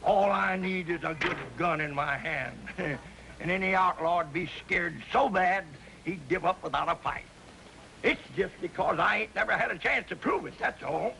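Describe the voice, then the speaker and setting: An actor playing a boastful, excitable old prospector grandfather with a folksy American drawl in a 1950s television western. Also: high voice, moderate pace, gravelly, a little hiss or hum, full character voice, poor audio. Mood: blustering. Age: older. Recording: old TV soundtrack with background music.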